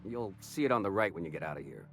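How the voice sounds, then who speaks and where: Wounded, low-voiced frontier smuggler. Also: male voice, lively intonation, fast, creaky, fairly bright tone, soft background music, heavily acted. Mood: weary, helpful. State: winded from a wound. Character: wounded, wary, gritty frontier smuggler man. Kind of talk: video game voice acting.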